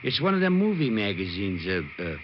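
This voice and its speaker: Whiny dimwit cop, swooping voice. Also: male voice, lowish voice, sing-song. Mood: dim, indignant. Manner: slow-witted complaining, ungrammatical street talk. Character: dim, whiny, overweight male police sergeant, easily flustered. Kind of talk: broad 1950s radio comic-sidekick acting. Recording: muffled, noisy old-time radio recording.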